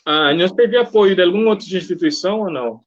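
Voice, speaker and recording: A man's voice: high-pitched, fast. A man with a Cape Verdean accent conducting a casual interview in an encouraging, conversational way. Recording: dull lo-fi audio.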